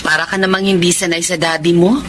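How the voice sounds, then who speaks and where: An actress playing a fussing, scolding aunt in a Filipino radio drama. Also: female voice, fairly low pitch, steady tone, quick pace, background noise, lightly acted. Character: fussing, scolding aunt looking after a child.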